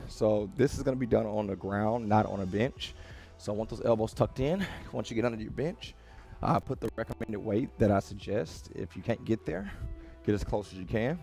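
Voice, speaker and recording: Male gym coach, 30s, lowish register with fry, casual and motivational, giving clear step-by-step workout instruction. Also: mellow. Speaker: fitness coach. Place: small home-style gym with rubber floor, indoors.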